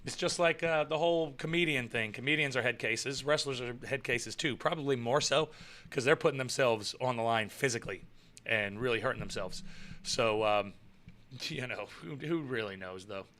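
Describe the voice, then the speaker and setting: A young man chats loosely on a live stream and answers viewers as he goes. His voice is bright and forceful. He rambles, repeats himself and chuckles often. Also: mid-range voice, normal speed. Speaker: live show host and pro wrestler. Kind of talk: casual live-stream chat reading viewer comments.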